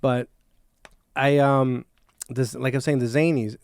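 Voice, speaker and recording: Gravelly-voiced male podcast host. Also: mid-range voice, steady tone, darkish tone, no echo. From Mexico. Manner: casual, quick questions. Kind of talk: casual podcast chat.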